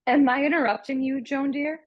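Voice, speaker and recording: A woman's voice: high-pitched, fairly even intonation, brisk, lo-fi sound, close to an everyday voice. A woman playing a genteel, sweet-mannered young lady in a radio play. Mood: polite. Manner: polite, genteel.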